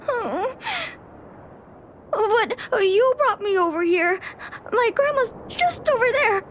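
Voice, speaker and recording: A small, shy game child with a very high voice. The delivery is meek, earnest and slow, over faint music. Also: female voice, animated, slight background noise, heavily acted. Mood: timid. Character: timid, polite, grateful small child. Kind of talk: animated game voice acting.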